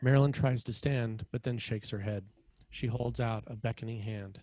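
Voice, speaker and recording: A man reading screenplay action as narrator, in clipped, dramatic, measured phrases. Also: medium pitch, steady tone, warm tone, dry recording. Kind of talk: scripted screenplay narration, present tense.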